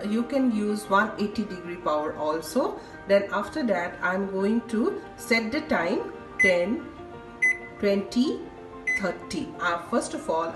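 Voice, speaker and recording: A woman with an Indian accent narrating a recipe step by step as a home cooking vlogger, in a halting, repetitive way. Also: medium pitch, recorded from a distance. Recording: roomy echoing home kitchen recording. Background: music.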